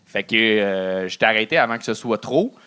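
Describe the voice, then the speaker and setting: A man's voice: fairly low pitch, lively intonation, normal speed, bright, forceful tone, clean tone. A man with a Québécois accent hosting, giving candid, stammering feedback to a comedian. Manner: candid, fumbling critique with stammered repeats. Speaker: show host and judge. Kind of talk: casual feedback.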